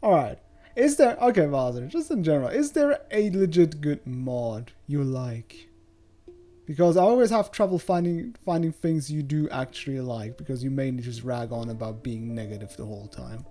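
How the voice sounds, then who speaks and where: A male streamer from Switzerland chats casually and ramblingly as he plays. His voice is mellow and moves up and down a lot, over faint game music. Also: medium pitch, animated, normal speed. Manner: rambling, chatty, jokey swearing. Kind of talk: casual livestream commentary. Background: soft game music.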